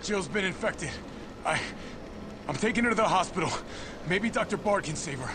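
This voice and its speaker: An actor playing a tough young male mercenary, urgent and rough-edged, in a game. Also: high voice, lively intonation, normal speed, background noise. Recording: music playing behind.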